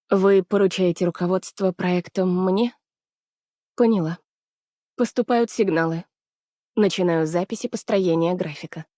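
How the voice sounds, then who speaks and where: An actress voicing a weary, anxious young woman scientist from the frozen north in a game dub, soft and hesitant. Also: medium pitch, no room sound, studio quality, lightly acted. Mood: focused. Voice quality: soft, husky, breathy. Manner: hesitant, subdued, apologetic. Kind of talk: Russian dub of game character voice lines.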